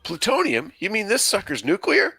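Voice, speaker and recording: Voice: mid-range voice, huge pitch swings, normal speed, vocal fry, bright, forceful tone, husky, no room sound, exaggerated voice. A performer reading the part of an earnest, anxious teenage boy in a film script, with an urgent manner. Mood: curious. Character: earnest, anxious seventeen-year-old boy time traveler. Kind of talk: table read of a film script.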